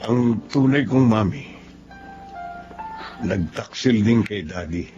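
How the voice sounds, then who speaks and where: An actor playing a principled, sombre young man in a Filipino radio drama, speaking in a measured, serious way. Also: on the low side, expressive, mellow, muffled recording, soft background music. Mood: grave, confiding.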